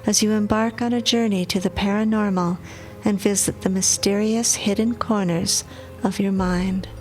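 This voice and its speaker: Low-voiced woman announcer over music. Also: steady tone, moderate pace. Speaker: show intro announcer. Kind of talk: scripted spooky intro narration.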